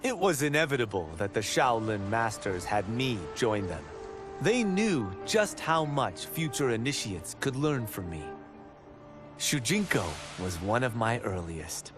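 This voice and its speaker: Cocky, swooping martial arts hero. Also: male voice, medium pitch. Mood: boastful. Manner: cocky, theatrical. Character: vain, self-assured male martial arts hero turned teacher. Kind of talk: scripted dramatic game narration.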